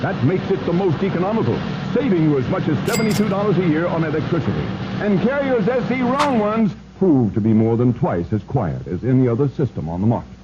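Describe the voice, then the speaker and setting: A man's voice: fairly high pitch, lively intonation, fairly quick. A man announcing a scripted radio commercial. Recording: muffled old radio recording, loud noise.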